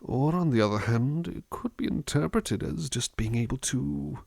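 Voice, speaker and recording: A man playing a gentle, reflective butler in a soft-spoken ASMR roleplay, musing slowly and thoughtfully, at times dropping into a near-whisper. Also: medium pitch, expressive, vocal fry, breathy edge, dry recording, studio quality. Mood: thoughtful, reassuring. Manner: hesitant, thoughtful, musing, unhurried. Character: gentle, reflective male butler reading poetry to his charge.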